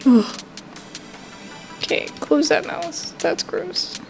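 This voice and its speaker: A woman's voice: high voice, very animated, very slow, vocal fry, poor audio. A woman giving casual let's-play commentary, reacting aloud to herself as she plays. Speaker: video game streamer. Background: soft game music.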